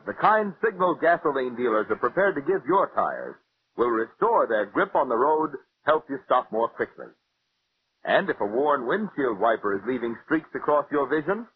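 A man's voice: a little high, slight background noise. A man working as a commercial announcer, reading an advertisement in 1940s radio style. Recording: tinny, narrow old radio recording.

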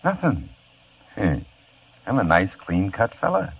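A man's voice: sits low, wildly swooping pitch, unhurried, creaky, husky, exaggerated voice. An actor playing a wry, easygoing male private eye who narrates his own case in a laid-back, hard-boiled way, in 1950s radio drama style. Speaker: narrator and lead character. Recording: tinny old radio broadcast with music.

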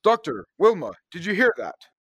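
A young man playing an earnest, excitable space captain in a 1930s-style radio serial, exclamatory and emphatic. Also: sits high, normal speed, breathy edge, no echo, full character voice. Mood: shocked, urgent. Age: young adult.

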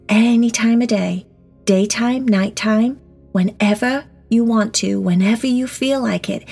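A woman leads a guided meditation for children, speaking softly and breathily, often close to a whisper, in a gentle, reassuring way with simple words. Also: medium pitch, vocal fry, slightly rough. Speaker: guided meditation teacher and host. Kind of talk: children's guided meditation, slow soothing instruction. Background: soft background music.

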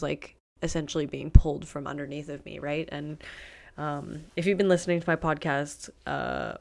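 A young woman hosting a solo podcast, her voice carrying a gravelly fry. She sounds a little sniffly from a cold and talks in a frank, profanity-laced, rambling way. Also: low-pitched. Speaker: podcast host. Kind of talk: candid solo podcast monologue.

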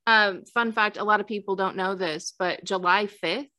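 A woman's voice: mid-range voice, fairly even intonation, pushed and bright. A woman from Kansas co-hosting a podcast, chatting playfully in a casual way. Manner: playful, quick to laugh. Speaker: podcast co-host. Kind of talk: casual chat.

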